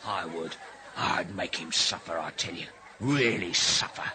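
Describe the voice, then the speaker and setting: Low, forceful goading crony. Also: male voice, measured pace, a faint crowd, a little hiss or hum, heavily acted. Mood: taunting. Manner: taunting, insistent. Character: goading, bloodthirsty male crony. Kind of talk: radio drama acting.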